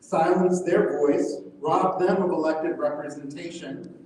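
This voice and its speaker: A man giving a prepared, accusatory public comment to a city council, measured and pointed. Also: on the high side, fairly even intonation, darkish tone. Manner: accusatory, measured, reading from notes. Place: council chamber. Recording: echoey distant hall recording, noisy.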